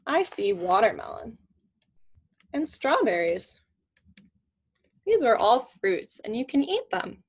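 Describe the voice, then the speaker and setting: A woman's voice: a little high, big pitch swings, slow pace, pauses often, slightly rough. A woman in her twenties reading a picture book aloud to children in simple, teacherly phrases with questions. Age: young adult, 20s. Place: small indoor room at home with shelves.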